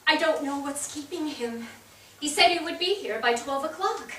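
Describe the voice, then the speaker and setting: A woman playing a burdened, firm nineteenth-century wife and mother in a staged reading, speaking in a measured way. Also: high-pitched, close to an everyday voice. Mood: fretful. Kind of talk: staged reading of a period drama. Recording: echoey theatre, distant mic, faint noise.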